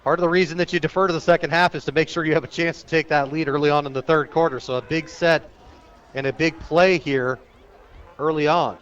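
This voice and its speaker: A man working as a radio sportscaster, calling high school football live in clipped, descriptive phrases that turn excited on big plays. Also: brisk, clean tone, highs cut off. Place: outdoor stadium, live radio broadcast.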